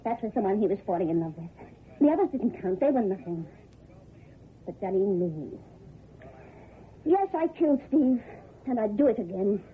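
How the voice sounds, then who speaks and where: An actress playing a proud, bitter murderess in an old radio melodrama, speaking in a defiant, dramatic way. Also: female voice, medium pitch, animated, moderate pace, long pauses, lightly acted. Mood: bitter, anguished. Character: bitter, proud female murderess, secretly a writer's wife. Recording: tinny, noisy old radio recording.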